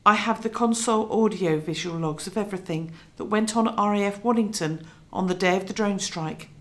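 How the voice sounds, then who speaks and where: An actress playing a resolute investigative TV journalist in a radio drama, presenting in a measured, emphatic way. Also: female voice, mid-range voice, fairly bright tone, close to an everyday voice. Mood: resolute, serious. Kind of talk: scripted documentary presenting within radio drama.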